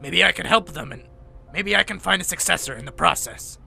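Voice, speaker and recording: Guy doing old robot doc, high raspy creaky voice, really sharp, reflective. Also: a little fast, faint music behind, heavily acted. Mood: wistful, persuasive. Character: aging male robot medic planning a swan song. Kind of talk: motion comic voice acting.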